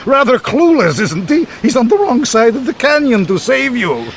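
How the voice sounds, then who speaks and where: An actor playing a suave, murderous doctor in a radio mystery, mock-courteous and gloating in a theatrical way. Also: male voice, very high voice, very animated, moderate pace, slight background noise, full character voice. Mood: gloating, menacing. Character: suave, taunting male doctor villain, swindling murderer. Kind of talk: old-time radio mystery acting.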